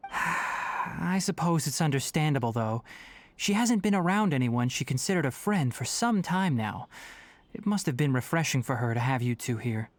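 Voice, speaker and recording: An actor playing a calm, scholarly young male forest ranger in a video game, speaking in an articulate, measured way. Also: medium pitch, natural voice. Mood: calm, reassuring. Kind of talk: scripted video game dialogue. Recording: music behind the voice.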